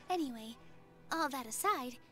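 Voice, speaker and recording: Kind, modest young dancer for an anime game: high voice, light music underneath. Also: female voice, fairly bright tone, exaggerated voice. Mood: modest, friendly. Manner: friendly, reassuring, modest. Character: gentle, cheerful young female festival dancer. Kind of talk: anime video game voice acting.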